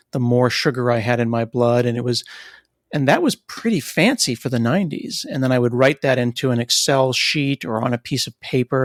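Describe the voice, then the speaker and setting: A middle-aged man hosting a podcast interview, talking in a relaxed, thoughtful way with occasional restarts. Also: medium pitch, no echo, clean studio sound. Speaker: podcast host. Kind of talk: conversational interview.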